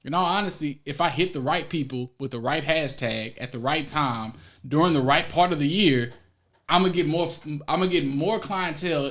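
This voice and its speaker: A man's voice: on the high side, fairly bright tone. A man on a discussion panel, casually explaining his own experience in informal language. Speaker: podcast panelist, tech business owner. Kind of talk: casual chat.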